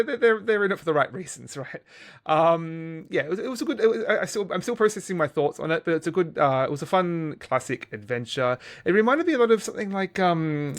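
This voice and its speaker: A man hosting a podcast in casual chat, often hesitating and rephrasing as he goes. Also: on the high side. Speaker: podcast host.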